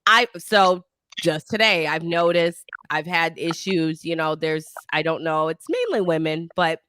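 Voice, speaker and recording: A woman from Detroit whose first language is Italian, hosting a podcast and chatting in a blunt, joking, animated way. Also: deep voice, unhurried, slight background noise. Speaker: podcast host. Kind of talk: casual podcast chat.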